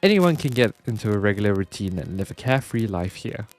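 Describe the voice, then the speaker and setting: A man's voice: lowish voice, sing-song, quick pace. A man with a nasal voice reading the lines of a boastful, friendly young male prison inmate from a game. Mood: chummy boastful. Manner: boastful, chummy, showing off.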